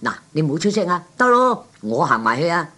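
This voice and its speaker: A man's voice: fairly high pitch, very animated, a little fast, sharp tone, heavily acted. A man playing a sly, bossy, wheedling racetrack scrounger in a Cantonese radio comedy from the 1960s. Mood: hushed, bossy. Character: sly fast-talking male racetrack scrounger.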